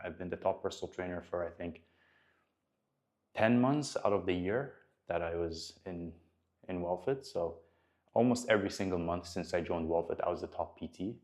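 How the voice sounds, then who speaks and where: A man in his early twenties talks straight to the camera with a very low, deep voice. He speaks earnestly and motivationally about his own growth, and his sentences often stall and restart. He is a personal trainer recording a vlog. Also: moderate pace, a little mellow. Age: 20s.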